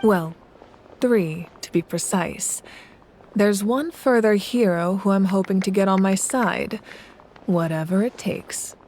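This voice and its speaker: A woman voicing an adult female ruler of a land in a video game, speaking in a measured, composed and thoughtful way. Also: mid-range voice, a little mellow, natural voice. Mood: resolute. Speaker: ruling Archon. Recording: game audio with faint music behind.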